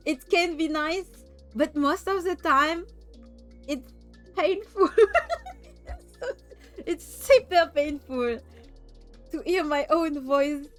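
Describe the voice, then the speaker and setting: French-accented woman streamer, high voice, slow and giggly chat, faint music behind. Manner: giggly, laughs often, stumbles and repeats words. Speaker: VTuber streamer.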